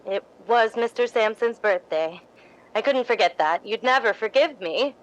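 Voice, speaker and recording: A woman's voice: on the high side, lively intonation, moderate pace, lo-fi sound, lightly acted. A woman playing an eager, deferential young assistant in a 1950s radio drama, polite and sweetly innocent. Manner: polite, ingenuous, eager to please.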